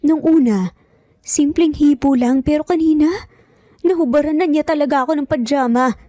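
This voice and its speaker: A woman's voice: high-pitched, normal speed, warm tone, no echo, studio quality, pitched above the performer's own voice, natural voice. A woman voicing a frightened, tearful young woman who pleads insistently in an audio romance drama. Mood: tearful, shaken. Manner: pleading, emphatic.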